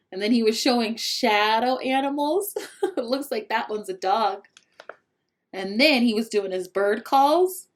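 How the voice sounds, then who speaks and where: Woman, children's read-aloud, very lively pitch, unhurried pace, expressive, encouraging asides to young listeners. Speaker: teacher reading a picture book aloud to young children.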